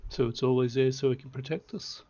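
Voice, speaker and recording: A man's voice: medium pitch, fairly even intonation, a little fast, warm tone, highs cut off, close to an everyday voice. A man doing a friendly tavern bartender. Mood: helpful.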